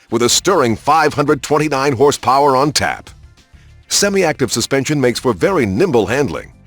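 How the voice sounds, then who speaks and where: Male promo narrator, mid-pitched with animated swings, polished announcer read, music bed underneath. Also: no echo. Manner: polished, emphatic announcer delivery. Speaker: game showcase narrator. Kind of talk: scripted promotional car narration. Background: background music.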